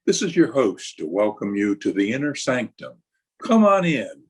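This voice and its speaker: A man's voice: mid-range voice, big pitch swings, normal speed, husky. A man playing a ghoulish, wisecracking old-time radio horror host with a sinister, punning, theatrical manner. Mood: ghoulish glee.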